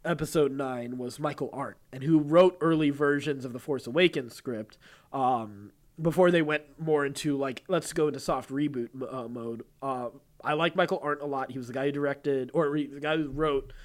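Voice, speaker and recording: A man's voice: medium pitch, steady tone, normal speed. A man co-hosting a podcast, casually discussing film news and often restarting his phrases. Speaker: podcast co-host.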